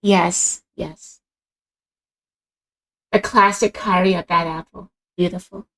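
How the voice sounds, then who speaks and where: A woman streamer with a raspy morning voice, chatting casually with a co-streamer and her chat, bubbly and giggly, often tripping over her words. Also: lowish voice, fairly even intonation, slow, fairly bright tone, poor audio. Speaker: VTuber streamer.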